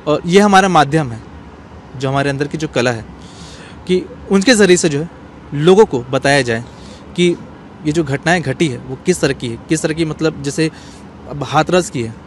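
A man in his thirties giving a serious, explanatory interview answer in short, measured phrases. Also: on the high side. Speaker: interview guest, cartoonist. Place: outdoors in an open courtyard. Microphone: handheld small microphone. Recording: faint outdoor street background noise.

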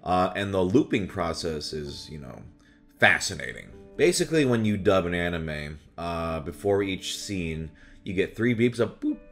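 A young adult man, a voice actor, chatting casually to camera in an animated way at home. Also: low-pitched, moderate pace, faint music behind. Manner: animated, slips into exaggerated character voices. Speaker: voice actor and video host. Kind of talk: casual chat to camera about voice roles. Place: small furnished room at home, indoors.

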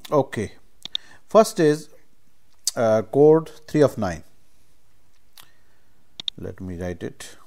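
A man giving an informal step-by-step software tutorial as instructor, speaking haltingly and often checking in with short tags. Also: mid-range voice, lively intonation. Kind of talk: informal screen-share tutorial, explaining step by step.

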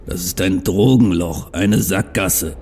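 Casting: male actor as proud, stubborn troll visionary, deep and mellow, earnest and bitter, for audio drama. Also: slow pace, slight background noise. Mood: determined. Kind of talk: fan audio drama acting.

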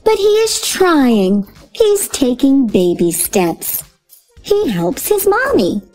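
Lady reading a bedtime story, high lively voice, really slow, faint music behind. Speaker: storybook reader. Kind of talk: children's read-aloud narration.

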